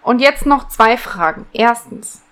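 A woman with a fairly high voice talks as a podcast co-host. She is casual and conversational, raising questions and picking at whether things make sense. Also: animated, a little slow, a bit bright. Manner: questioning, picks at plot logic. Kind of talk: casual discussion of an audio drama.

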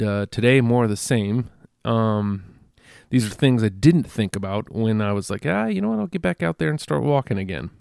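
A man's voice: lowish voice, vocal fry, a little mellow, no echo. A congested man hosting a casual solo podcast, rambling and self-deprecating, with many fillers and restarts, close to the microphone. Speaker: podcast host.

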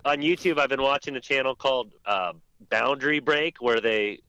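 Bright, dry-sounding man chatting casually. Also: mid-range voice, moderate pace, clear, resonant tone, no echo, dull audio. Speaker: podcast panelist. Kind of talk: casual group podcast chat.

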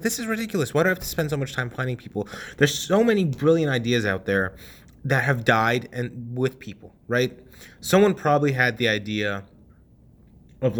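A young man in his twenties, hosting a podcast and telling a personal story in a casual, animated way, cursing freely and dropping at times to a hush. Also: mid-range voice. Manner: animated, swears casually, swings from loud to hushed. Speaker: podcast host.

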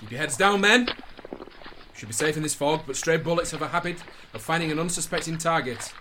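A man acting in a radio drama as a dutiful, war-weary army officer, measured and thoughtful. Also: on the high side, lively intonation, noisy background, lightly acted. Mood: commanding, cautious. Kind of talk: radio drama narration and orders. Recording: loud guitar music under voice. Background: plucked guitar music.

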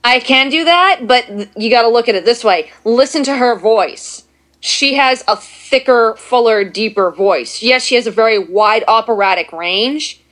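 Casual guest, woman with a low New voice, talkative and candid. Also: lively intonation, moderate pace, fairly bright tone. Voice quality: deeper voice for a woman. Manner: chatty, self-deprecating, talks at length. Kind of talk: casual chat.

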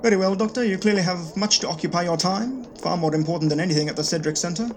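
Man playing a formal, higher-voiced British brigadier. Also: quick pace, noisy background, close to an everyday voice. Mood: formal, dry. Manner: clipped, formal, politely dry. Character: stiff, courteous British military brigadier, a grown man. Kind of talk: audio drama acting.

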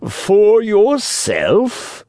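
A man's voice: high voice, wildly swooping pitch, warm tone, dry recording, clean studio sound, voice pushed up, full character voice. A man voicing a sly, falsely courteous fox in a storybook, drawing his words out in a wheedling way. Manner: slow, drawn-out, wheedling.

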